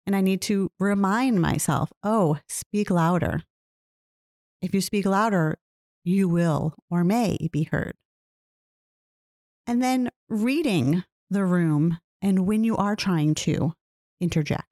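A woman talking earnestly and reflectively about her own experiences, often putting questions to the listener. She describes her own voice as low. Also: takes it slowly, darkish tone, no room sound, studio quality. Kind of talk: reflective personal talk.

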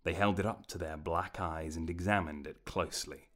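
Deep-voiced man narrating a fantasy tale. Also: fairly even intonation, normal speed, a bit bright. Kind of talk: scripted fantasy story narration.

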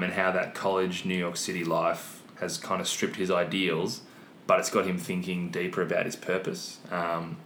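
A man on a film discussion panel, talking through his thoughts in a casual, reflective way. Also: fairly bright tone. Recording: echoey room, noticeable hiss and rumble.

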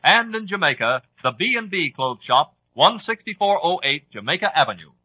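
An American male announcer with a slightly high, husky voice. He reads formally and deliberately in 1940s radio style, on a dull lo-fi recording. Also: very animated, pushed and bright. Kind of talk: 1940s scripted radio store listing.

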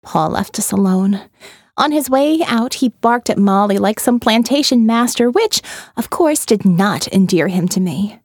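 A woman narrating a first-person audio novel in a measured, intimate way. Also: medium pitch, lively intonation, darkish tone, no echo, studio quality.

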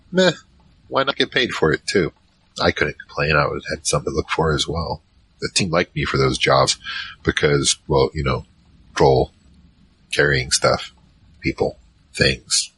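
A man's voice: extremely low pitch, measured pace, pauses often, a bit bright, slight background noise, exaggerated voice. A man playing an easygoing, nerdy troll mage who narrates his own story in a casual, rambling, slangy way for an audio drama. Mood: wry reminiscing. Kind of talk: conversational first-person drama narration.

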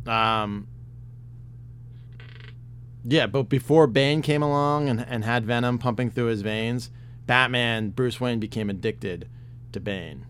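A man co-hosting a casual podcast chat, talking in an excitable, rambling way with lots of fillers and swearing, his voice getting worn. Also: mid-range voice, slow pace, long pauses, slight background noise. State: losing his voice. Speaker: podcast host.

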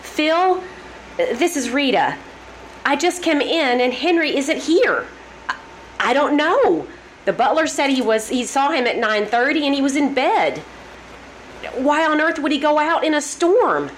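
Woman acting a high-voiced, fretful, insistent wife. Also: sing-song, unhurried. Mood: anxious, puzzled. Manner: questioning, insistent, exclamatory. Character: impatient, worried, suspicious wife. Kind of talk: old-time radio drama acting. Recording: noisy live stage performance recording.

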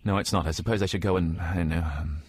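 Man doing a husky, fawning snob confidant. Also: low voice, dry recording, clean studio sound. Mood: earnest flattery. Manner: flattering, halting, pedantic. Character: sycophantic, snobbish male confidant, earnest and rambling. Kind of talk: improvised comic radio play.

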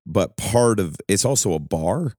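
A man with a very deep voice chats on a podcast, animated and quick to curse or laugh at himself. The sound is dry and close. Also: no room sound. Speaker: podcast co-host and touring musician. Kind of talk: casual chat.

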